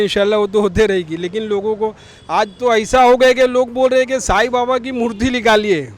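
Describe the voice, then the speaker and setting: Mumbai guy w a high voice, fed up and challenging, street interview, some traffic behind him. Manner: indignant, challenging, colloquial. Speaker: local resident interviewed on the street. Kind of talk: street interview, opinion on politics. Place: outdoors on an urban street. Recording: outdoor street noise with traffic.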